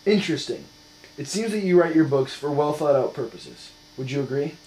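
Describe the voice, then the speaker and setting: A man's voice: a little high, lively intonation, normal speed, a little mellow. A man working as an interviewer, putting scripted questions in a formal, polite manner. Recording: echoey room with mains hum.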